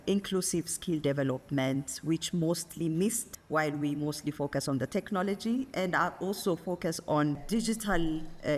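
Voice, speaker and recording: A woman with an Ethiopian accent answers interview questions in a measured way, pausing and using fillers. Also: deep voice. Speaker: guest, energy consultant. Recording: roomy with faint ambient noise.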